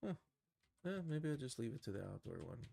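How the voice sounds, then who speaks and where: A man thinking aloud through a casual live software tutorial, in an informal, musing, tentative manner. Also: medium pitch, big pitch swings, fairly quick, gravelly, husky. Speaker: streamer teaching animation software.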